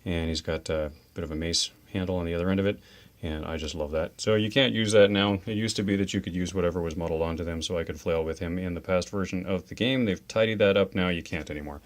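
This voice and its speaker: A man hosting a tabletop wargame show, chatting casually and enthusiastically about models, dice and rules. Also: sits low. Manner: chatty, enthusiastic, quick asides. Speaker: host of a tabletop wargame channel.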